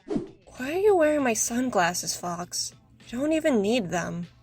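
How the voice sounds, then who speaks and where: A sassy, confident cartoon young woman, played by an actress, high and very expressive. She draws her words out teasingly, with faint music behind. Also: measured pace. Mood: suspicious. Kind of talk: cartoon comic dub.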